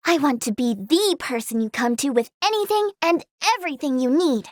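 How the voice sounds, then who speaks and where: A woman playing an eager, kind-hearted young female healer in a fantasy video game, earnest, expressive and girlish in manner. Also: sits high, a little fast, no room sound, clean studio sound. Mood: wistful, tender. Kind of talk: video game character acting.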